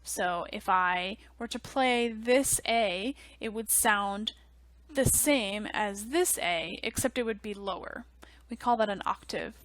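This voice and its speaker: A young woman teaching music theory in a relaxed, chatty way, full of ums and likes, on a headset mic in a small office. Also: medium pitch, slow, no room sound. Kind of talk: casual online lecture.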